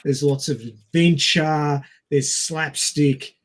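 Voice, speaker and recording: Halting man with a slightly higher-pitched voice. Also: steady tone. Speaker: podcast co-host. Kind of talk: casual film discussion.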